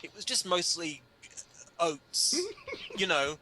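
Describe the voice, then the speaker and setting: A man's voice: a little high, expressive, slow, crowd noise, poor audio. A man giving a dramatic comedic reading of an article, with an emphatic, mock-indignant, sardonic delivery. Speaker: reader performing a humor article.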